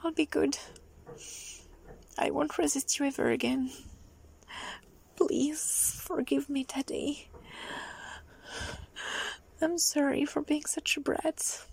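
A young adult woman performing a solo audio roleplay in a soft, breathy, pleading manner, often dropping into a whisper. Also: high-pitched, steady tone. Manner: slow, drawn-out delivery with frequent whispering.

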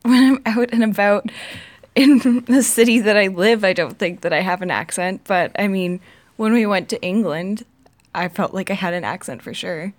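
A woman's voice: medium pitch, normal speed. A woman with a Canadian accent, chatting casually and laughing easily as she hosts the video. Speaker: host of an accent tag video. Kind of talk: casual chat.

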